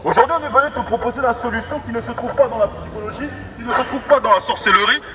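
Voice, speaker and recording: A man preaching in the open air through a megaphone, declamatory and repetitive, with halting restarts. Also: very high voice. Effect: megaphone amplification. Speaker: street evangelist. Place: outdoors in a busy urban public space. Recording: megaphone voice outdoors, distant, echoing, street bustle. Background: street crowd and vehicles.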